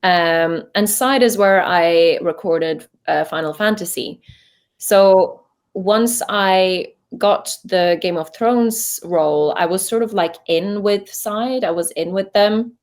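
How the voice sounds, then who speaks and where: A woman's voice: medium pitch, slow. A young woman from Norway with a Norwegian accent, chatting casually as a voice actor guest in an interview, full of fillers and restarts. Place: home voice booth.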